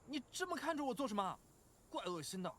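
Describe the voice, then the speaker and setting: A man voicing a haughty, prickly teenage boy in an animated fantasy drama. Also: very high voice, fast talker, slight background noise, full character voice. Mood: annoyed. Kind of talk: Chinese animation voice acting.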